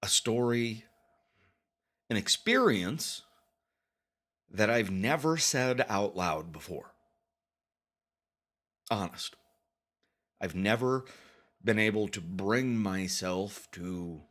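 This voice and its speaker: Guy doing dramatic confessional storytelling, lowish voice, really slow, big pauses, mock serious and theatrical. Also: fairly bright tone. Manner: halting, with deliberate dramatic pauses; mock-grave, theatrical emphasis. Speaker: storytelling podcast narrator.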